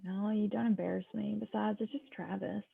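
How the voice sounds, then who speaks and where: A woman's voice: mid-range voice, fairly even intonation, moderate pace, vocal fry, husky. A woman reading the part of an exasperated, easily embarrassed teenage daughter with a dry streak, in an amateur script read. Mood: subdued. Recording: muffled, lo-fi home microphone.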